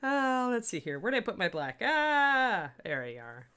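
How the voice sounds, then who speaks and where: Bright-voiced chatty Midwestern woman. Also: on the high side, very animated, normal speed, clear, resonant tone. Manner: chatty and rambling, talks to chat while she paints. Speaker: miniature painting streamer. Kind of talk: casual livestream painting tutorial.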